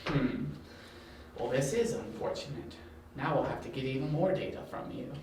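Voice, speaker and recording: A man's voice: medium pitch, expressive, moderate pace, darkish tone, close to an everyday voice. Man playing smug criminal mastermind, mockingly polite, amateur audio drama, heavy echo, distant mic. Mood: coolly menacing. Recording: very echoey, distant, with faint hiss.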